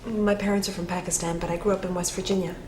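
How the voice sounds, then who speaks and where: An actress playing a guarded young woman who answers in flat, terse lines, recorded in an echoing room with hum. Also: on the low side, fast talker, lightly acted. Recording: roomy echo with background hum.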